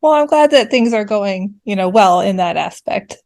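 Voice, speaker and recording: A woman's voice: mid-range voice, lively intonation, normal speed, gravelly, a little mellow. A woman hosting a podcast, chatting in a friendly, casual way and asking her guest questions. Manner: friendly, chatty, laughs easily. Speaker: podcast host. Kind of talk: casual interview chat.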